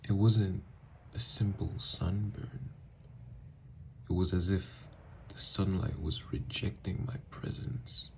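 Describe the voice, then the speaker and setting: A man playing an anguished, newly turned vampire in an intimate ASMR roleplay, speaking in a halting, hushed, gravelly voice. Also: low voice, fairly even intonation, a little hiss or hum, heavily acted. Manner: halting, hushed, breathy delivery with long pauses.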